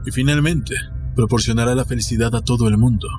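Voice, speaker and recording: A proud gentleman played by a male narrator speaks formally and grandly, guarding secrets and insisting on his sanity. It is a storybook reading with music clearly behind it. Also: mid-range voice, fast, close to an everyday voice. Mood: grandiose, secretive.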